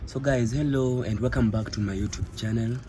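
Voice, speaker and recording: A Kenyan man hosting a casual vlog, switching between English and Swahili with halting, drawn-out phrasing, outdoor noise around him. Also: mid-range voice, steady tone. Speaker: vlog host.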